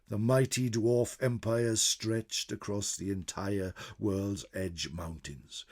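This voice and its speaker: A man voicing a venerable old scholar in solemn, theatrical fantasy narration, with a husky voice that swells and drops away. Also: lowish voice, a little mellow. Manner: theatrical, solemn, swelling then dropping to whispers. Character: venerable old male scholar chronicler, grave, fearful, awed by ancient lore. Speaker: storyteller. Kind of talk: dramatic in-character fantasy narration.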